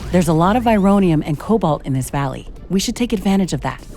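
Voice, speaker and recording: An actress playing a curious, determined scientist-commando in a video game, speaking in an earnest, articulate way. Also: female voice, fairly low pitch, animated, fast, dark tone, soft background music, natural voice. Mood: curious, decisive. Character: curious, determined female scientist-commando exploring an alien world. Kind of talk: scripted video game dialogue.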